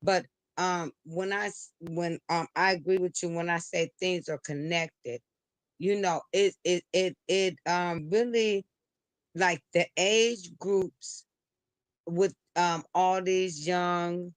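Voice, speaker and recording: A woman's voice: lowish voice, steady tone, takes it slowly, clear, resonant tone, no room sound. A woman calling into a livestream, speaking hesitantly with many restarts and fillers. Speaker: livestream caller. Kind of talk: call-in commentary. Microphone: remote call into a streaming studio.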